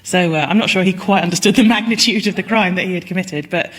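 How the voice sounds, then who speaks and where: A woman's voice: fairly low pitch, fast. A woman explaining things comically and anecdotally as a panellist on a radio comedy show. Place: radio show before live audience.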